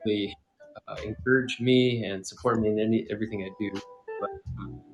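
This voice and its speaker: A man's voice: lowish voice, brisk. Twenty-something guy from hawaii on a call, easygoing and rambly, music faint behind. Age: 20s. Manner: relaxed, rambling, many fillers. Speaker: guest. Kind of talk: casual livestream interview.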